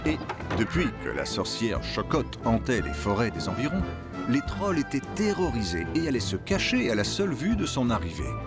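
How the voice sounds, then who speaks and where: Wildly animated fairy-tale storyteller. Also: male voice, mid-range voice, moderate pace, over loud music. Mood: storytelling. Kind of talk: storybook narration.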